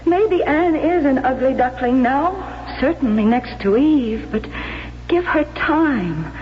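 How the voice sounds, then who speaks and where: A woman's voice: high voice, expressive. An actress playing a frank, weary wife and mother, speaking with earnest emphasis in a 1970s radio drama. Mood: earnest, pleading. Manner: measured, emphatic, earnest reproach. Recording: muffled old radio broadcast, faint noise.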